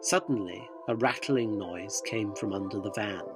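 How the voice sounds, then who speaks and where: A man's voice: lowish voice, normal speed, over music, natural voice. An actor playing a sceptical, wry interviewer, narrating his surreal expedition in dry, wordy radio drama style. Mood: wry, uneasy.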